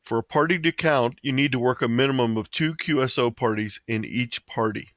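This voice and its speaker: A man narrating a scripted slideshow presentation in a measured, slightly halting way, stumbling over the odd word. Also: mid-range voice, fairly bright tone, dry recording, studio quality. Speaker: presenter of an amateur radio slideshow.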